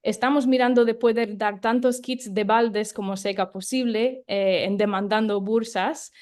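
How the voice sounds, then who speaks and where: A woman of middle pitch talks through a project plan. She explains step by step and often stops on short fillers. The delivery is a plain presentation. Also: darkish tone. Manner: explanatory, with frequent hesitation fillers. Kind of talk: online talk presentation.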